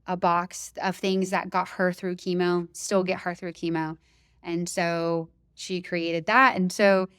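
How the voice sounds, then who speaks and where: A woman with a lowish, smooth, resonant voice talks loudly and eagerly as a guest on a running podcast. She chats casually and laughs easily. The sound is close and dry. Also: dry recording. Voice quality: loud, emphatic voice. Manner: enthusiastic, chatty, laughs easily. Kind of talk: casual interview chat.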